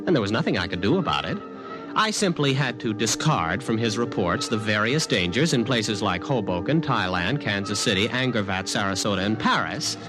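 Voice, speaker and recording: A man's voice: fairly low pitch, lively intonation, brisk, natural voice, rough recording. A young man playing a skeptical college student in a 1950s radio drama, talking in a casual, wry way. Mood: wry narrating. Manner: casual, hesitant questioning, wry. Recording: vintage radio recording with music.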